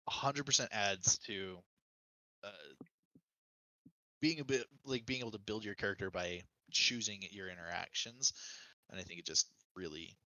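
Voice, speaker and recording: A man's voice: lowish voice, sharp tone, no echo, low-quality recording. A man speaking as a podcast guest, casually and reflectively explaining his own preferences in video games.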